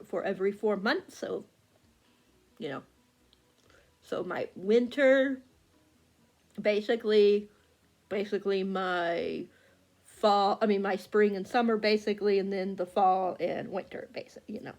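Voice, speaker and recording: A woman's voice: mid-range voice, measured pace, long pauses, a little mellow. A woman chatting casually about upcoming books, rambling with lots of fillers and restarts while working a phone. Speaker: book vlogger. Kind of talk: casual chat.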